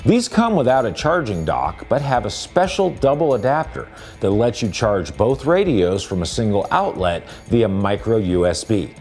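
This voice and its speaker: Male presenter for scripted gear review narration, lively inflection, music underneath. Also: medium pitch.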